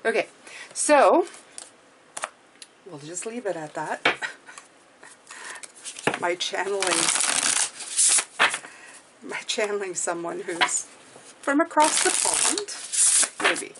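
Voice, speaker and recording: A woman's voice: medium pitch, sing-song, slow pace, long pauses, heavy background noise. A woman whose first language is French, speaking English with a French accent, gives a casual tarot reading and keeps checking in with "okay?". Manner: chatty, reassuring, often ends with "okay?". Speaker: tarot reader.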